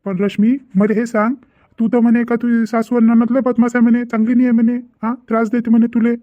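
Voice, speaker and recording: Man playing a stern, very high-pitched father. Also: flat delivery, fast talker, warm tone, no echo, dull audio. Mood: stern, questioning. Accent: Vidarbha Marathi. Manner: scolding, repetitive, insistent. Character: stern, exasperated middle-aged father of daughters. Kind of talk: amateur cartoon family drama.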